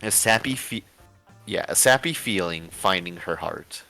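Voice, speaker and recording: A man's voice: sits low, animated, measured pace, gravelly, sharp tone, faint music behind. A man reading a story aloud with casual asides, sometimes stumbling over his words and correcting himself. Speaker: story reader and show host.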